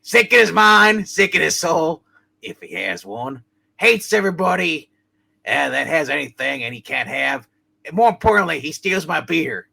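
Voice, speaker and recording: A man voicing a weary, blustering, crude older father with a raspy voice in a hammed-up comedic play reading. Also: on the high side, sing-song, normal speed, bright, forceful tone, a little hiss or hum, heavily acted, low-quality recording. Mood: grumbling.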